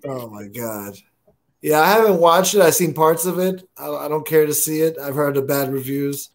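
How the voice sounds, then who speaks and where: Laid-back live-stream host. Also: male voice, fairly high pitch, normal speed. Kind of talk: casual live-stream chat.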